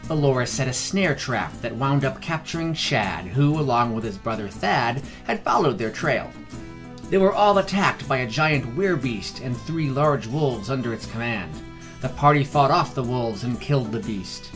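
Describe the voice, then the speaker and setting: A man's voice: mid-range voice, expressive, normal speed, fairly bright tone, faint music behind. A man narrating a scripted fantasy story as a podcast narrator, reading expressively. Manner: reads character dialogue expressively.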